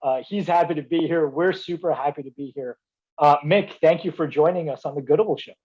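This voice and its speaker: A man's voice: a little high, a little fast, a little mellow, breathy edge, muffled recording. A man hosting a good-news show, chatty and enthusiastic as he introduces and praises a guest. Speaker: show host. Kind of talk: upbeat hosting banter.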